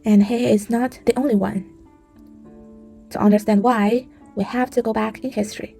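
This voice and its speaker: A woman narrates a travel documentary in a measured, explanatory scripted voice-over. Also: on the high side, steady tone, creaky, warm tone, soft background music.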